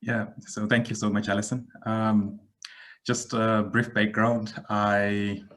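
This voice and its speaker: A man in his thirties from Zimbabwe, a podcast guest giving a measured, reflective account with frequent pauses. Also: lowish voice, flat delivery, a bit bright. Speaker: guest, digital transformation expert. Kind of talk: podcast interview answer.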